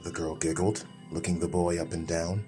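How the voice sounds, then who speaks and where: A man narrates a fantasy story in a low voice. He reads as a scripted audiobook storyteller, with soft music running behind him. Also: level tone, moderate pace.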